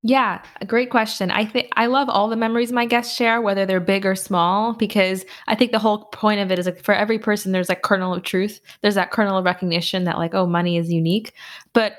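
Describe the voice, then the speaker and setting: A young woman answering interview questions casually, telling animated stories with lots of "like" fillers. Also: mid-range voice, fast. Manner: animated storyteller with frequent "like" fillers and laughs. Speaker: podcast host and finance blogger, interview guest.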